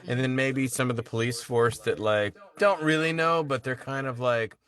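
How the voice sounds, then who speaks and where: A man's voice: mid-range voice, fairly even intonation, normal speed, a bit bright. A man in a casual discussion, speaking tentatively and conversationally. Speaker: table read participant.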